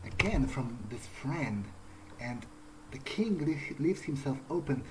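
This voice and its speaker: A man improvising in character during a game playthrough, speaking in a halting way and repeating words. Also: mid-range voice, animated, darkish tone, low-quality recording. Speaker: gamer roleplaying his character. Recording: faint background noise.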